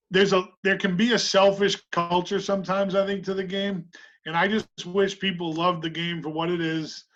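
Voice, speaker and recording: A man's voice: fairly high pitch, a bit bright, muffled recording. A middle-aged man, a basketball coach talking as a podcast guest, reflective and full of "you know"s. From Massachusetts. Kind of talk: casual interview chat.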